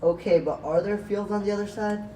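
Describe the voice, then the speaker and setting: A man's voice: high-pitched, fairly even intonation, fairly quick, mellow, voice pushed up, lightly acted. Earnest, protective male rabbit chief acted by a man, amateur puppet show, very roomy distant recording. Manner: earnest, concerned, questioning. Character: caring, cautious male rabbit leader. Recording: echoey, noisy room, far from mic.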